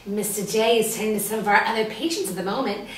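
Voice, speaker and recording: A woman's voice: mid-range voice, steady tone, quick pace, close to an everyday voice. A woman voicing a brash young female villain sidekick at a table reading, teasing and cocky. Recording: echoey room from a distance, faint hiss.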